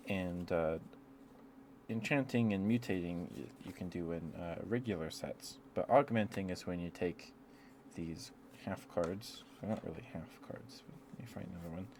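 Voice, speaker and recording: A man chatting in a rambling, affectionate ASMR style to live viewers, speaking softly into a close microphone. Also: low-pitched, moderate pace. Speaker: ASMR livestreamer. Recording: clear background noise.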